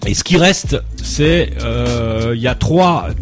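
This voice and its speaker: Male radio columnist, mid pitch, rambling with many fillers, clear music underneath. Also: lively intonation, a little slow. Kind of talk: casual radio chat.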